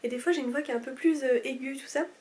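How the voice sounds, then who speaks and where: A woman's voice: sits high, fairly even intonation, rapid-fire, darkish tone. A woman in her twenties with a blocked, stuffy nose from a cold, chatting casually and rambling with many fillers as she reviews products. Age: 20s. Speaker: beauty vlogger.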